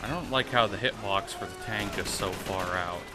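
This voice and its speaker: A man's voice: lowish voice, moderate pace, loud music behind the voice. A man hosting a gaming channel, talking casually over gameplay with a stuffy nose, rambling and swearing at the game. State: stuffy nose from sinus trouble. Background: game gunfire and vehicles.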